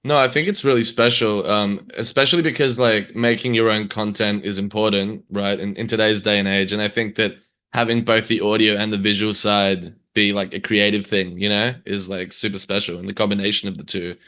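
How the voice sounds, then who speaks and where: A man's voice: on the low side, fairly even intonation, fairly quick, pushed and bright. A man hosting a casual interview, speaking in thoughtful, conversational phrases. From Australia. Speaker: podcast host.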